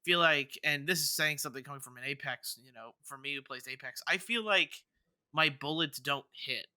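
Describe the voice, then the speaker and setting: A man's voice: a little high, moderate pace, pushed and bright, clear, resonant tone, no room sound, clean studio sound. A man hosting a podcast, talking casually through gaming news in a rambling way, often stammering and restarting. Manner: rambling, stammering with repeated false starts. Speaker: podcast host.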